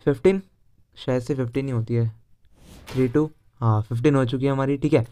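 A man's voice: medium pitch, fairly even intonation, fairly quick, mellow, faint music behind. A young man with an Indian accent giving casual, chatty game walkthrough commentary as a video host. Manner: informal, chatty, mixes Hindi with English words.

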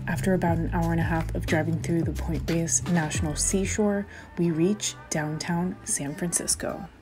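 Young woman travel vlogger, friendly, upbeat, chatty; slightly low voice, heavy music bed. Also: fairly even intonation, normal speed. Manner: upbeat, chatty tour-guide narration to viewers. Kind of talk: casual travel vlog with narration. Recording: loud background music over voice.

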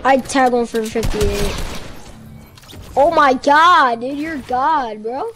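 Kid gamer, high-pitched voice, slangy casual chat, clear music in background. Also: slow pace. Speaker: player.

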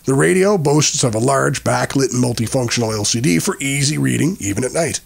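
A man narrates a scripted product review in a mid-pitched voice. His tone is husky, with noticeable gravelly fry running through the read. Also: animated, fairly quick.

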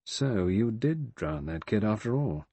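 Man playing a cool sardonic adventurer, husky. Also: on the low side, sing-song, unhurried, mellow, dry recording, heavily acted. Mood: quietly mocking. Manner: easy, mocking, thoughtful.

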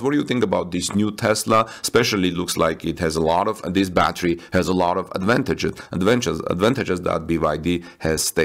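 Low-voiced man reading tech news. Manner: halting, with frequent fillers and restarts. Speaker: tech news channel host. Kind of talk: reading news with casual asides. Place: voice-over over stock footage.

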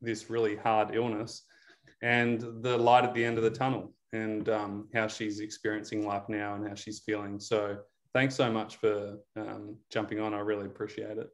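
A man in his thirties talks through a video call as coach and interviewer. His voice sits on the low side, and he laughs easily and fills pauses with ums and likes. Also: completely flat delivery. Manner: chatty, laughs often, frequent fillers. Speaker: interviewer, recovery program coach. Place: indoor room.

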